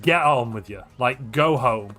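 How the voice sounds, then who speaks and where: A man's voice: medium pitch, unhurried, faint music behind. A man from North Wales, chatting casually and animatedly on a live stream, rambling and joking at his own expense. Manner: animated, rambling, jokey and self-mocking. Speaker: streamer and tournament caster.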